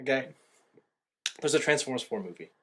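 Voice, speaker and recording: A man's voice: medium pitch, animated, brisk, heavy background noise. A man hosting a casual movie review podcast, giving opinions and often rewording himself as he goes. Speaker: podcast host.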